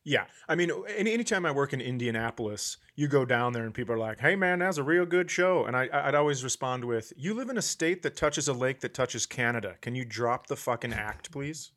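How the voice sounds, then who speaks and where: A man's voice: medium pitch, animated, fairly quick, hardly any pauses, a bit bright. An American man co-hosting a comedy podcast, bantering casually with dry, agreeing remarks.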